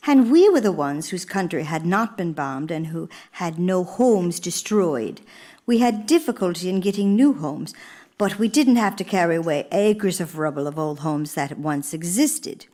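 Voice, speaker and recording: A woman performs an elderly, genteel former First Lady in a theatrical radio monologue. She is gracious and reflective, shaping her phrases deliberately. Her pitch moves widely and expressively as she tells her story. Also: animated, warm tone, lightly acted. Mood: gracious, earnest. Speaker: stage actress.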